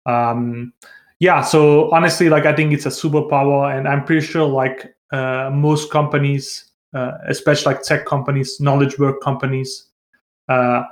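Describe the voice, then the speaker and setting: A man with a mid-pitched voice talks in a roomy, echoing space. He is an interview guest, speaking informally and hesitantly in a fairly flat tone, with plenty of filler words. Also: moderate pace. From born in Bosnia, grew up in Denmark. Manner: informal, hesitant, frequent "like" and "you know". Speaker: interview guest, tech company founder. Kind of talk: casual podcast interview.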